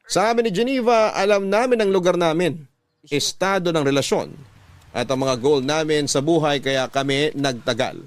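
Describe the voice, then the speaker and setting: A man playing an earnest young father who tells his love story in measured, sentimental first-person radio drama narration. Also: on the high side, natural voice. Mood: tender.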